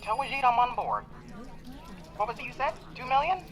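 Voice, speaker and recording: A woman playing a brash, excitable client in an audio roleplay, talking casually and with excitement. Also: fairly high pitch, moderate pace, close to an everyday voice. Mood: brash, excited.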